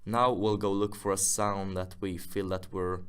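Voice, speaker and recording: A man presenting an informal music software tutorial, casually thinking aloud as he works. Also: low voice, flat delivery, normal speed, clear, resonant tone.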